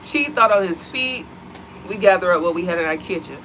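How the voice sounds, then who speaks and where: A woman's voice: fairly low pitch, expressive, fairly quick, a bit bright, slight background noise, low-quality recording. An American woman co-hosting a home vlog, chatting animatedly and addressing the viewers as y'all. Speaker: vlog co-host. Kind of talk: casual chat to viewers. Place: at home, seated.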